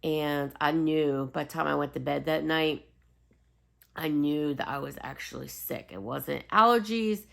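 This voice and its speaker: Woman vlogger w low croaky voice, getting over a cold, kinda raspy n sniffly, chatty and apologetic. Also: measured pace. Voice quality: slightly raspy. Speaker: host of a home fragrance video channel. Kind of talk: casual rambling vlog chat.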